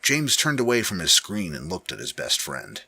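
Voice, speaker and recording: Deep-voiced man reading a story dramatically. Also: wildly swooping pitch, moderate pace, creaky, sharp tone, slightly rough, dry recording. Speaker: narrator of fan fiction readings. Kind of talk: dramatic scripted story narration.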